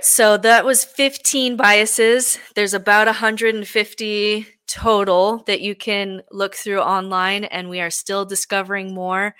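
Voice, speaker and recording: A young woman talking earnestly in a relaxed podcast conversation, with frequent fillers. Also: medium pitch, smooth, dry recording. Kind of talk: reflective conversational talk.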